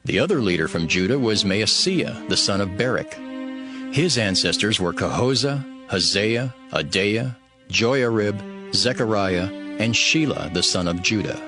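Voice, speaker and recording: A man reading scripture as a scripted audio Bible narration, in a measured, formal way. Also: on the low side. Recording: music behind the voice. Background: background music.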